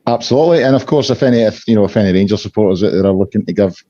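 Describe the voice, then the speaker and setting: A hoarse middle-aged Scottish man hosting a casual fan podcast, chatty and full of restarts. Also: on the low side, very fast, dark tone, no room sound, lo-fi sound. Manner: chatty, repeats fillers and restarts. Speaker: podcast host.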